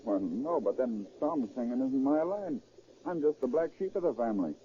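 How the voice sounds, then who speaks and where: A man's voice: mid-range voice, expressive, moderate pace, mellow, close to an everyday voice. A male actor as a minister's brash brother in an old radio drama. He talks in a plain, colloquial, needling way. The 1930s recording is thin and phone-like, with faint noise. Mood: teasing, puzzled.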